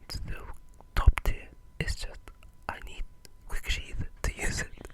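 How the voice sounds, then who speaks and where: Slow, hushed ASMR whisperer. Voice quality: breathy, unvoiced whisper. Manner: hushed, unhurried, halting delivery. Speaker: ASMR gaming video creator. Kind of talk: ASMR whispered casual game discussion.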